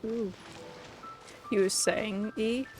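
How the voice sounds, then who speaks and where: A woman's voice: medium pitch, fairly even intonation, very slow. A woman game streamer giving casual livestream commentary in wry, muttered asides. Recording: game music under the voice. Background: game music.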